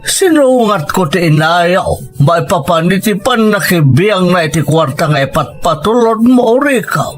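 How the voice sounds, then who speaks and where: A man's voice: high voice, expressive, a little slow, faint music behind. An actor with an Ilocano accent playing an elderly, emotional, supportive father in a radio drama. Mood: troubled.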